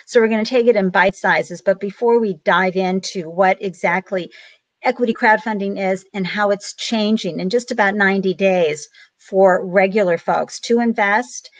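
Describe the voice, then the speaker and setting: A woman's voice: mid-range voice, normal speed, no echo. A woman hosting an interview who asks her guest questions in an upbeat, encouraging way. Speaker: interview host.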